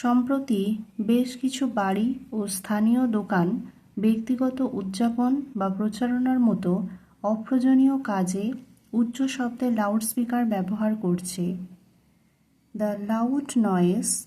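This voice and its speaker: A woman tutor reading a model letter aloud as a lesson, in deliberate word-by-word, dictation-style phrasing. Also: on the high side, warm tone, poor audio. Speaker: English tutor.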